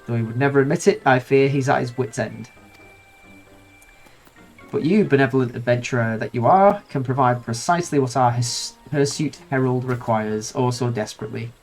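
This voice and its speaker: A man's voice: mid-range voice, moderate pace, darkish tone, soft background music, close to an everyday voice. A man with a nasal cold voicing a grand, romantic noblewoman in a game, reading her lines in flowery, theatrical speech. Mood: grandiose.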